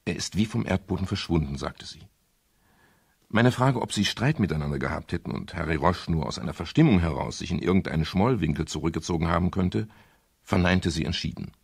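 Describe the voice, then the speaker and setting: An actor playing a wry private detective, narrating his case drily for a radio crime drama, with a gravelly, husky voice. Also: low voice, brisk, no room sound, studio quality, heavily acted. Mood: matter-of-fact. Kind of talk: scripted first-person radio crime narration.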